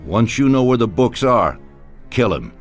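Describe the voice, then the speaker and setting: An actor voicing a grave, commanding middle-aged mafia don in a video game cutscene, speaking deliberately and with authority. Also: male voice, mid-range voice, very animated. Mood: cold, commanding. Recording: music behind the voice.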